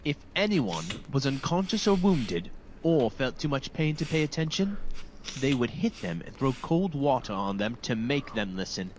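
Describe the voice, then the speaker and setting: A man's voice: mid-range voice, sing-song, normal speed, a little hiss or hum, natural voice, low-quality recording. An actor playing a grieving male soldier in a video game, speaking in a solemn, formal, deferential way. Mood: grim, haunted. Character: wounded, grieving male soldier recounting a massacre. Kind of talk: dramatic video game dialogue.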